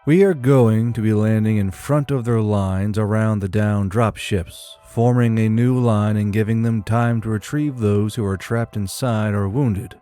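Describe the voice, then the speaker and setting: A man acts out a seasoned space-infantry sergeant in an audio drama. He briefs calmly and matter-of-factly in a low, mellow voice, with music behind him. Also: unhurried, few pauses, clean tone, close to an everyday voice. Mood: calm, businesslike. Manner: steady, matter-of-fact briefing.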